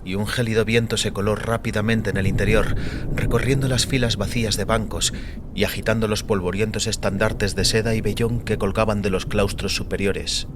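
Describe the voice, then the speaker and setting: A man narrating scripted dramatic fiction in a measured, solemn manner. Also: lowish voice, steady tone, background noise.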